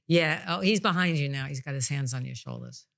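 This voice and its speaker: A woman's voice: a bass voice, huge pitch swings, fairly quick, gravelly, fairly bright tone. A plain-spoken woman talking casually as a psychic medium and podcast host, explaining her work in an informal way. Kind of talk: casual conversational explanation of spiritual healing work.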